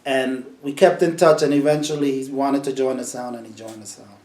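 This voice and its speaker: Relaxed New man, echoey room. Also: mid-range voice, fairly quick. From New York. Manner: relaxed, storytelling, repeats words. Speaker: sound system selector. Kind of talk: casual interview answers.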